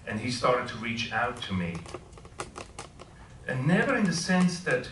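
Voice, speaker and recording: A man's voice: mid-range voice, big pitch swings, a little slow, distant mic, noisy background. A middle-aged man from Switzerland, a professor, giving an informal talk and asking the audience questions. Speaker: guest speaker. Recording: echoey room, voice heard from afar.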